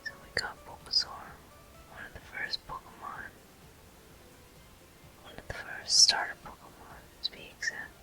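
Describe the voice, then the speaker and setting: A person whispering soft, breathy, unhurried commentary in short phrases while playing a video game for ASMR viewers. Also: faint music behind. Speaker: video game player and host.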